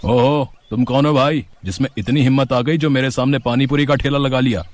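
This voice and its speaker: A man's voice: medium pitch, steady tone, brisk, close to an everyday voice. A man playing a cheating, bullying street vendor villain with a threatening, taunting manner in a story. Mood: taunting threat.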